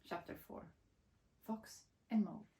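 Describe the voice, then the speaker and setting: A woman narrating a children's picture book. Her voice is mellow and warm in tone, with an expressive storytelling delivery. The room adds a clear echo. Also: unhurried. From Sweden. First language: Swedish.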